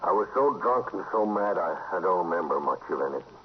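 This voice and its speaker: Low, weary frontier roughneck. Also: male voice, lively intonation, a little fast. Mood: sheepish, weary. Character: weary, remorseful, stubborn frontier man surrendering. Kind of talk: 1950s radio western acting. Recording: muffled vintage 1950s radio recording, faint noise.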